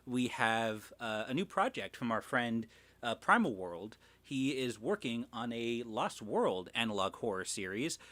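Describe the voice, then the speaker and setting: A man's voice: medium pitch, big pitch swings, measured pace, fairly bright tone, dry recording. A man hosting a livestream in casual chat, rambling and self-deprecating, with some swearing. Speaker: livestream host.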